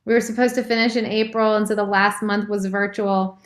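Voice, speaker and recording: A woman's voice: medium pitch, little pitch movement, brisk. Reflective woman guest, roomy echo. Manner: reflective, thinks aloud with fillers. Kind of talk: casual conversation. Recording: roomy, echoey sound.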